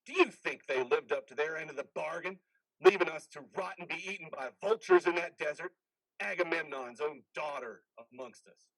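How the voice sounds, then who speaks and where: A man's voice: a little high, moderate pace, fairly bright tone, no room sound, rough recording. A man playing the stern ghost of a dead army officer in a dramatic play reading, domineering and manipulative. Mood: bitter, domineering.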